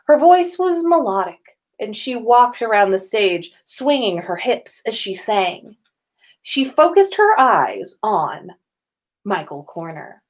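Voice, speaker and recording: A woman hosting a casual story reading, expressive and given to drawn-out emphasis as she narrates and comments. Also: mid-range voice. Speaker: podcast host reading listener-written fan fiction aloud. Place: own room at home.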